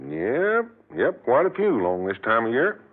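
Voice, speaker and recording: An actor playing a curious, talkative rural gas station attendant in an old radio drama, folksy and plain-spoken. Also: male voice, mid-range voice, sing-song, normal speed, close to an everyday voice. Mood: puzzled, curious. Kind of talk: 1940s radio drama acting. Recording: tinny old radio recording.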